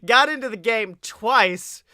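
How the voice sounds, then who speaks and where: Young guy podcast host, super bright sharp voice, dry close sound, excitable and chatty. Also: extremely high pitch, slow, smooth, dry recording. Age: young adult. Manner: excitable, hyped-up, playful. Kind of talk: casual chat on a wrestling podcast. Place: small room at home, on a video call.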